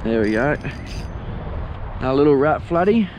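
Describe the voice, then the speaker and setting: Slow, chatty Australian man outdoors. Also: fairly high pitch, poor audio. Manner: relaxed, chatty, unhurried with fillers. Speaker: fishing vlogger. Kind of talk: casual fishing commentary. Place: outdoors by a lake shore. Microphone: head-mounted action camera. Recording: outdoor noise, wind and water.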